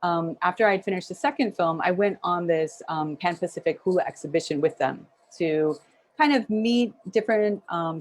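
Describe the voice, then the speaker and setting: A woman's voice: on the low side, darkish tone. A woman documentary filmmaker answering interview questions in a thoughtful way with many fillers.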